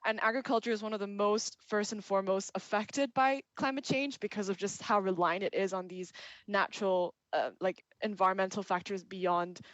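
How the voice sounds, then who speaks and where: A woman's voice: mid-range voice, steady tone, normal speed, a bit bright, no echo. A woman in her twenties from Hong Kong, a climate advocate introducing herself fluently on a panel. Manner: fluent and articulate. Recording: dry, dull lo-fi sound.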